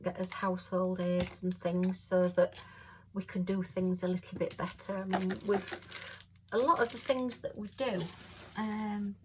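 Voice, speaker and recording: A woman chatting casually at home while she works on a craft, rambling and homely with lots of fillers, her voice a little hoarse. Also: on the low side, little pitch movement, unhurried, darkish tone, slight background noise, rough recording. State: slightly hoarse. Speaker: crafting vlogger.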